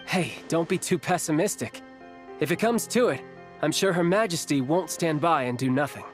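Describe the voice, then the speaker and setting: A man's voice: on the high side, normal speed, soft background music, close to an everyday voice. A man playing a reassuring comrade in a video game, in scripted dramatic dialogue.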